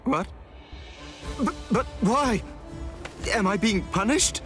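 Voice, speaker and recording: A man's voice: high voice, animated, slow pace, music in the background. An actor playing a theatrical, effusive mad scientist in an anime game, a man both gloating and obsequious. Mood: flustered. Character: Manic, gloating mad scientist man, fawning to his master. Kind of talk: anime video game voice acting.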